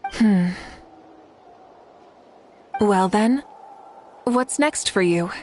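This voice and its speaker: An actress playing a composed, courteous warrior leader in a video game, speaking in a measured, polite way. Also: female voice, mid-range voice, expressive, darkish tone, slight background noise. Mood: composed, curious.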